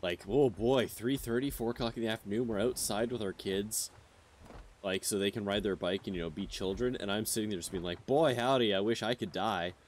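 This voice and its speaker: A man's voice: on the low side, fairly quick, slight background noise. A man in his thirties streaming a game, chatting casually with his viewers and rambling with lots of fillers. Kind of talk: casual chat with chat while gaming.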